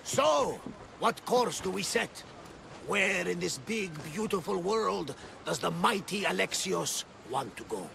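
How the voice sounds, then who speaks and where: An actor playing an older, bluff ship's captain in a video game, gravelly and hearty with a grandiose turn of speech. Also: male voice, sits high, animated, slow pace, bright, forceful tone, a little hiss or hum, exaggerated voice. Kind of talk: cinematic video game acting.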